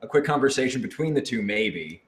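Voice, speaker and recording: A man's voice: medium pitch, expressive, brisk. A man who works as a voice actor, chatting casually and playfully on a livestream with lots of exclamations. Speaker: voice actor answering fan questions. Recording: roomy, faint background noise.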